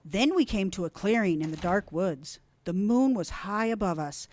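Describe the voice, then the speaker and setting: A woman reading a children's storybook aloud in an expressive, deliberate, dramatic way. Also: medium pitch. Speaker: storybook reader.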